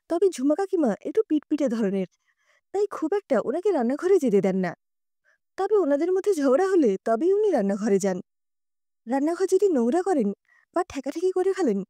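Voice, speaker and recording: A woman narrating a scripted audio story in an expressive, conversational reading. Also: high voice, no echo, studio quality.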